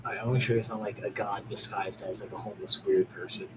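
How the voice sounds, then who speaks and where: A man's voice: lowish voice, steady tone, fast talker, rough recording. Guy playing d&d online, eager and plain, some faint noise behind him. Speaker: player. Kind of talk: casual tabletop game chat.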